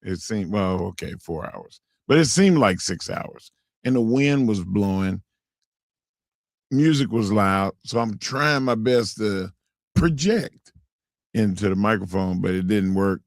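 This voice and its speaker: A hoarse man with a low voice co-hosts sports talk radio, halting and restarting his sentences often. The studio mic keeps his voice close and dry. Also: animated, dry recording. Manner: halting, many fillers and restarts. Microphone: studio broadcast mic.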